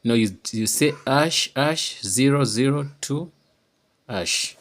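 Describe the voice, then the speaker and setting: A man giving an informal, unscripted how-to demonstration, halting and repeating himself as he explains each step. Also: medium pitch, a bit bright. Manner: halting and repetitive, often checking understanding. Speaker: tutorial presenter.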